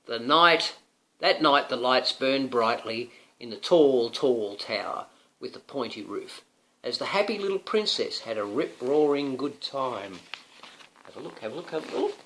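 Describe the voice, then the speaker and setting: A man reading a picture book to his young son, expressive, with coaxing asides to the child. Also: mid-range voice, measured pace, low-quality recording. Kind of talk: animated storybook read-aloud.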